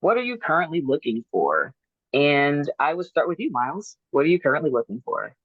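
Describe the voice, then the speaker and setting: A woman's voice: low voice, very animated, fairly quick, no echo, phone-quality sound. A woman hosting a dating show, calling on guests one by one with short cheerful acknowledgements.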